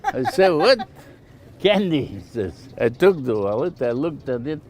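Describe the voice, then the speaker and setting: A man's voice: fairly high pitch, very animated, unhurried, crowd noise. An older man from Bulgaria with a Bulgarian accent, telling anecdotes casually and plainly as a shopkeeper being interviewed. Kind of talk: casual oral storytelling.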